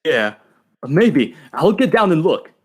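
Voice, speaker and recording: A man's voice: a little high, big pitch swings, slow, slightly rough, heavily acted. A man voicing a nervous, slangy gangster henchman in an old-time radio crime drama. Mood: alarmed. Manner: slangy, nervous, tough-talking. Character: jumpy, eager male gangster henchman, loyal to boss.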